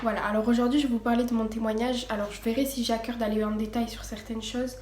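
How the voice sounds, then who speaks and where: A woman's voice: a little high, level tone, quick pace, darkish tone. A teenage girl giving a casual personal testimony, partly read from notes, hesitant with many fillers and restarts. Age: teens. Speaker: vlogger. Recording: echoey room, faint background noise.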